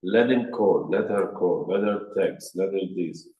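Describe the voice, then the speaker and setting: Slow, deep-voiced rabbi with a Persian accent. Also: male voice, dark tone, echoey room, low-quality recording. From Iran. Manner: plain short sentences, acts out quoted voices at higher pitch. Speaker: rabbi giving a marriage workshop. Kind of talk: workshop lecture.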